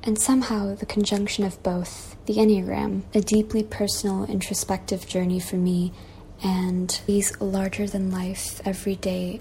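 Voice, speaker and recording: A young woman from Malaysia reads her own reflective essay, earnest and measured, returning to a repeated refrain. Her voice is mellow with a gravelly edge. A faint hiss sits under the recording. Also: mid-range voice. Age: 20s. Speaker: guest essayist. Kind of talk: reflective personal essay, read aloud. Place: childhood bedroom at home.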